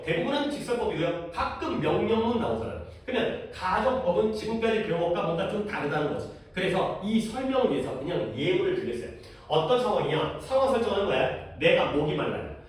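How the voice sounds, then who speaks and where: Man teaching grammar in an echoey room. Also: high voice. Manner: casual explaining, asks students questions. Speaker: English teacher. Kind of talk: classroom grammar lesson. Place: classroom. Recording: echoey classroom from far off.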